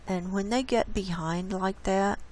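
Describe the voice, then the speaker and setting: A woman host with a hoarse voice, casually walking viewers through data shown on her screen. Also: medium pitch, a little mellow. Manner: unhurried, explains data on screen. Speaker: channel host presenting climate data. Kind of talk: casual screen-share presentation.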